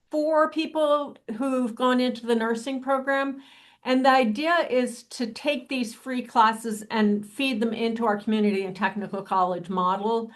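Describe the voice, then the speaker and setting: A woman's voice: on the high side, a bit bright. A woman college dean and administrator introducing herself in a chatty, friendly way on a webinar panel.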